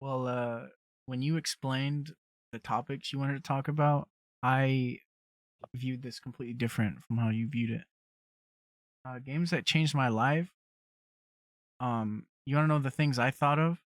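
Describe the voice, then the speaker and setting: A man's voice: mid-range voice, fairly even intonation, unhurried, long pauses, no echo. A young man co-hosting a casual podcast, teasing and blunt, swearing casually. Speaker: podcast co-host. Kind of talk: casual chat.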